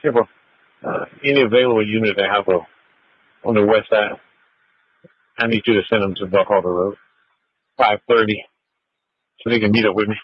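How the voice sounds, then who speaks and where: A man's voice: lowish voice, fairly even intonation, normal speed, pauses often, dry recording. A man, a police officer, calling in to dispatch over a police radio. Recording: dull lo-fi police radio transmission.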